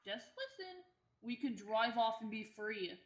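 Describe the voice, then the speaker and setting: A confident young lad guiding others through caves in a radio drama, played by a teenage woman. The voice is slightly high and persuasive, recorded in a roomy, echoey space. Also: big pitch swings, unhurried. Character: confident, persuasive young male cave guide. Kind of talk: radio theatre acting. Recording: echoey room, faint noise.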